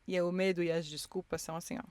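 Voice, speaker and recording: A woman's voice: fairly low pitch, level tone, fast, darkish tone, clean tone. A woman hosting a solo podcast from inside her car, reflective and encouraging as she talks straight to her listeners. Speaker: podcast host. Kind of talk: intimate solo podcast monologue.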